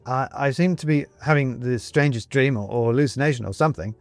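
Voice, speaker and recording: A man's voice: mid-range voice, brisk, no room sound, soft background music, natural voice. A man voicing a bewildered, wary man in an audiobook, stammering and hesitant. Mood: flustered, disbelieving. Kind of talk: audiobook character acting.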